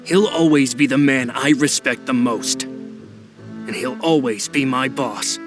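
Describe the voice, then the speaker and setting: A man's voice: mid-range voice, slow, lightly acted. Man playing an earnest loyal henchman over prominent music. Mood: reverent, sentimental. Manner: sincere, restrained, deferential. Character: loyal, earnest, reserved male follower devoted to his boss. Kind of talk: video game dialogue acting. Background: prominent music with guitar.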